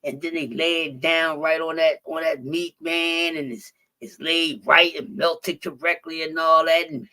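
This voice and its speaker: A man's voice: on the high side, little pitch movement, a little slow, hardly any pauses, pushed and bright. Rambling, cussing Philly man. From Philadelphia. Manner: profane, rambling, with many restarts. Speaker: stream guest. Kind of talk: casual chat.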